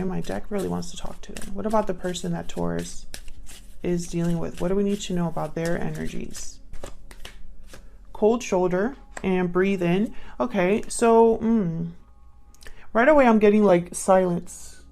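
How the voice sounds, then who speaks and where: A woman's voice: on the low side, dark tone. A woman giving a casual spoken tarot reading, often repeating her prompts to herself as she goes. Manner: murmurs card prompts to herself, repeating them. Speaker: tarot reader, channel host. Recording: clear background noise with card shuffling clicks.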